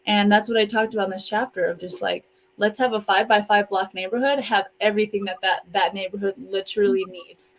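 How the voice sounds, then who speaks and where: A woman's voice: mid-range voice, steady tone, brisk, hardly any pauses, rough recording. A woman hosting in a casual, reflective conversational style, speaking over an online video call. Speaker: host and co-author.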